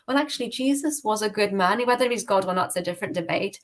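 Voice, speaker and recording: A woman's voice: medium pitch, fast. A British woman giving a personal testimony in a casual interview, candid and hesitant, often correcting herself. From United Kingdom. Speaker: podcast guest.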